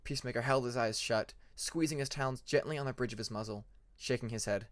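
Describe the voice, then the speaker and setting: A man's voice: mid-range voice, quick pace. Male storyteller reading dramatic fiction. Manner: expressive, acts out dramatic passages. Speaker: fan fiction narrator. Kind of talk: dramatic scripted audiobook narration.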